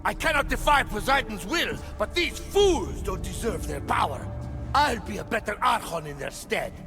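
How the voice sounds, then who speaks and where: Atlas, haughty ageless ruler. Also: male voice, high voice, big pitch swings, moderate pace. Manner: condescending, lecturing. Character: proud scheming male ruler Atlas, ageless. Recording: game soundtrack music underneath.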